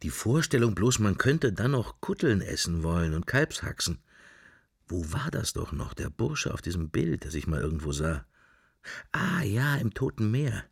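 An actor playing a musing, idly drifting man in a radio drama, thinking to himself in husky, fragmentary phrases. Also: lowish voice, sing-song, moderate pace, no echo, clean studio sound, heavily acted. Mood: dreamy, languid. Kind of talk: interior monologue in a radio drama.

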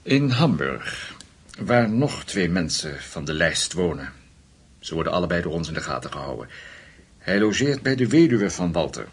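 An actor playing a measured, discreet senior German investigator in a Dutch radio play, speaking in a deliberate, formal way. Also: male voice, low voice, very animated, breathy edge, full character voice. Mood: composed, decisive.

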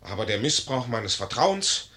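Blustering young lieutenant, piercing and excitable. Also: male voice, mid-range voice, measured pace. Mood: wounded, pompous. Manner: blustering, overblown, theatrical. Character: blustering, foolish, indignant young army lieutenant. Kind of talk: 1950s German radio play acting.

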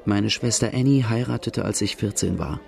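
An actor playing an outlaw who plainly recounts his hard youth in a German radio drama, with a gravelly voice. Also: on the low side, moderate pace, darkish tone. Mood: reflective. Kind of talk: first-person narration in German radio drama.

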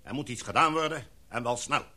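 A man's voice: medium pitch, bright, forceful tone. Een man die in een hoorspel een formele, gezaghebbende expeditieleider speelt en afgemeten, redenaarsachtig spreekt. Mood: urgent, oratorical. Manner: formal, oratorical, measured. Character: authoritative, formal expedition leader, a knighted gentleman. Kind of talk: radio drama acting.

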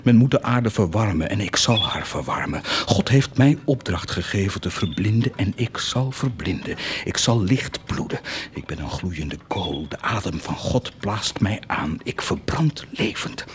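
A man's voice: lowish voice, animated, unhurried, a little hiss or hum. An actor playing a proud, fervent nobleman set on doing good, declaiming with theatrical intensity in a radio drama. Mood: fervent, exalted. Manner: declamatory, impassioned, swinging to hushed intensity.

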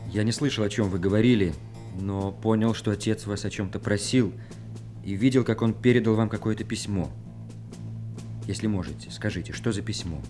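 An actor playing an earnest, respectful young officer son in a Soviet radio drama. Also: male voice, lowish voice, moderate pace, mellow, faint music behind, close to an everyday voice. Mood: serious, questioning.

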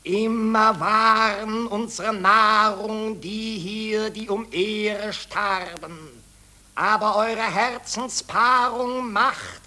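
A man playing a carrion raven in a radio drama, declaiming rhymed verse with smug, drawn-out relish. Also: high voice, pushed and bright, heavily acted. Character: carrion ravens, smugly gloating war profiteers.

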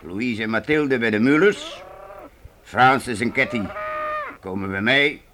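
A man playing a blunt, paternal council leader of a wagon train in a radio play, measured and authoritative. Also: mid-range voice, animated, pushed and bright, slight background noise. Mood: firm, matter-of-fact. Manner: measured, authoritative, hesitant openings.

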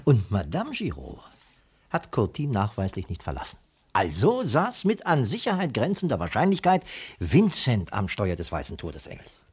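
Very raspy, sardonic male detective. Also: on the low side, wildly swooping pitch, moderate pace, a little mellow, full character voice. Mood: summing up. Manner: sardonic, impatient. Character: skeptical, money-minded male private detective, exasperated and sardonic. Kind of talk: German radio crime drama acting.

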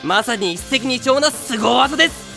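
A man playing a brash, intense, theatrically proud high school boy in an anime audio drama, grandiose and earnest. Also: lively intonation, measured pace, creaky, sharp tone, faint music behind, exaggerated voice.

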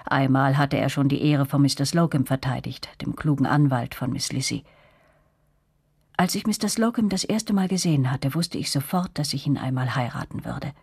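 A woman playing a young narrator in a radio play, recalling events in a measured, reflective way. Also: very deep voice, darkish tone, husky, no room sound, clean studio sound, exaggerated voice.

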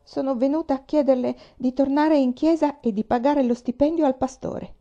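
A woman's voice: high-pitched, fairly even intonation, moderate pace, warm tone, lo-fi sound, voice pushed up. A woman voicing a frightened but earnest young girl in an audiobook, with a faint, hesitant, meek delivery. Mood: timid, frightened. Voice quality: faint, thin. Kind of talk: audiobook character voice.

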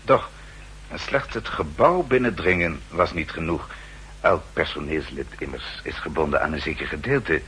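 An actor playing a formal, dryly polite aide to a spymaster in a 1960s radio drama, deferential, measured and precise. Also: male voice, extremely low pitch, lively intonation, a bit bright, breathy edge, full character voice. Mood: measured briefing. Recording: 1960s radio recording, faint hum and noise.

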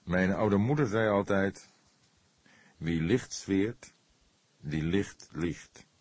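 Man playing a deep, deliberate old detective. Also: husky, no room sound, exaggerated voice. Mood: thoughtful. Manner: slow, measured, deliberate, soft-spoken. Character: old male police detective, weary, thoughtful, gently sarcastic.

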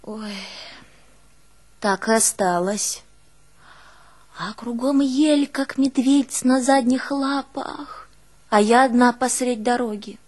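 A woman's voice: a little high, slow, pauses often, a little mellow. An actress playing an old peasant woman reminiscing in a folksy, emotive monologue, with a northern Russian village dialect. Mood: forlorn. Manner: folksy, emotive, with sighing exclamations and wailing quotes. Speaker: stage actress in a one-woman play. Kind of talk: dramatic monologue, folksy storytelling.

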